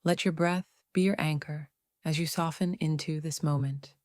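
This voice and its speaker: A woman narrating a guided meditation in a soothing, unhurried way, leaving long pauses between phrases. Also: low voice, fairly even intonation, a little mellow, no echo, studio quality.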